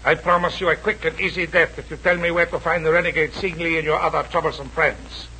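An actor playing a cruel, pompous Japanese army officer in a 1940s radio adventure serial, menacing and mockingly formal. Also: male voice, on the high side, fairly even intonation, fast talker, bright, forceful tone. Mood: coolly menacing. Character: cruel, sneering Japanese army major, villain. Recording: noisy old radio broadcast.

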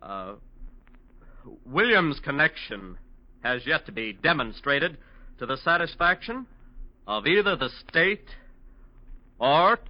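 A man's voice: medium pitch, natural voice. An actor playing a troubled, earnest male defense lawyer in 1940s radio drama style. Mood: halting, resolute. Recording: muffled old radio recording.

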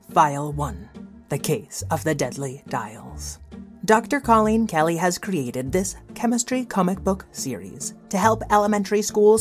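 Woman narrator for a kids audio story, slightly low voice, reading from a script, music behind. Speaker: narrator and host.

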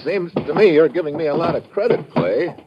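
An actor playing a wry, tough American adventurer in an old-time radio drama, dry and sardonic, on a muffled, noisy vintage recording. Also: male voice, fairly high pitch, expressive, fairly quick, close to an everyday voice. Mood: wary, irritated. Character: wry, tough American undercover adventurer narrating his own exploit. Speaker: lead actor and narrator.

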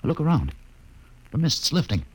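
Detached, sardonic space-expedition doctor, classic radio drama: husky, creaky, measured wording, very animated intonation. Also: male voice, on the high side, full character voice, low-quality recording. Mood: sardonic curiosity. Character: cynical, detached male doctor on a Mars expedition.

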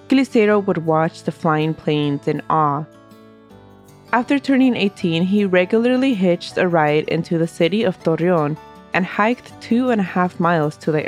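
A woman hosting a history podcast, reading a scripted story aloud while stuffy from a cold. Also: on the low side. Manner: steady storytelling narration. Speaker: podcast host.

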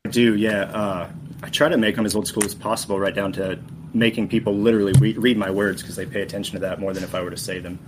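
A man's voice: fairly low pitch, steady tone, fast talker, crowd noise, poor audio. A man guesting on a casual podcast, straightforward and a little reserved. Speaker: podcast guest, axe craftsman.

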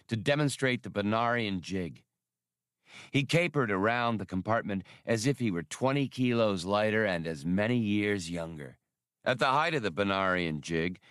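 A man's voice: lowish voice, a bit bright, dry recording, clean studio sound. A man reading scripted adventure fiction as an audiobook narrator. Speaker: audiobook reader.

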